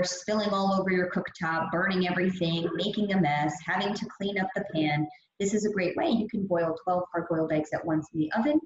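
A young adult woman fitness trainer giving an upbeat, chatty meal prep talk over slides on a video call. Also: lowish voice. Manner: upbeat, chatty, many fillers. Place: gym or studio room. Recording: echoey, dull lo-fi audio with faint hiss.

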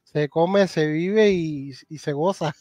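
A middle-aged man with a Cuban accent hosting a casual live stream chat over a video call, lively and warmly addressing his guest. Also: a little high, slow. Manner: animated, thanks viewers, calls guest gato and hermano. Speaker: host.

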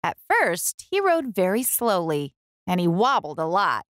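A woman reads a children's story aloud in an expressive, storytelling style. She takes her time, with lively rises and falls in a medium-pitched voice, recorded close and dry. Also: measured pace, no room sound. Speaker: children's book reader and host.

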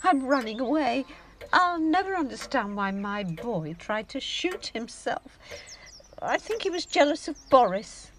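High-voiced, theatrical, imperious mother. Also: very animated, unhurried, slight background noise. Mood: fussing, worried. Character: vain, fussing middle-aged mother, theatrical and dismissive. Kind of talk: audio drama acting.

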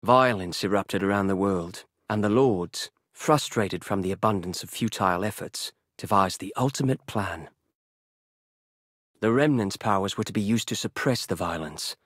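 An actor playing a solemn chronicler of war, narrating a video game story in a measured, grave and husky voice. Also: male voice, fairly low pitch, a bit bright, no room sound, clean studio sound. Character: solemn male chronicler recounting a war's aftermath.

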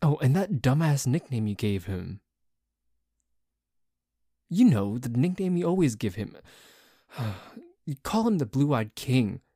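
A man playing a dorky, jealous tsundere boyfriend in an ASMR roleplay, bickering haltingly with a cocky streak. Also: medium pitch, sing-song, warm tone, dry recording, clean studio sound, exaggerated voice. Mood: irritated, combative. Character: dorky, jealous, grumbling tsundere boyfriend who dislikes a cat.

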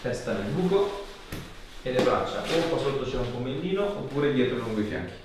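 A man's voice: medium pitch, expressive, a little fast. Echoey-sounding man giving treatment instructions. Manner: informal, reassuring, short coaxing instructions. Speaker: osteopath treating a patient. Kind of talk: casual clinical instructions and chat with patient. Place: small clinic treatment room, indoors. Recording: echoey small room, background noise, off-mic.

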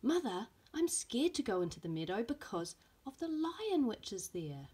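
A woman's voice: fairly high pitch, sing-song, normal speed, mellow, husky, voice pushed up, exaggerated voice. A woman voicing an earnest, emphatic little boy in a storybook. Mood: earnest, scared.

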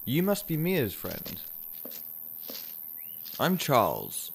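An actor playing a proud, assured husband with a deliberate manner in a radio drama. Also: male voice, a little high, wildly swooping pitch, gravelly, fairly bright tone, a little hiss or hum, heavily acted. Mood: affable, boastful. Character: Charles, proud record producer husband with menacing streak. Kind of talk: naturalistic radio drama dialogue.

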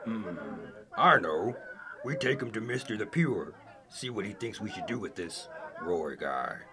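A man playing a huge, dim, hungry man-eating brute with a gravelly voice in a comedic audio drama. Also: fairly low pitch, lively intonation, a little slow, a crowd in the background. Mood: menacing, musing.

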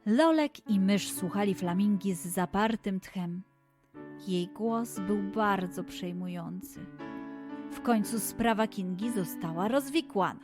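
A woman's voice: mid-range voice, slow pace, over music. A woman telling a scripted children's story as its narrator.

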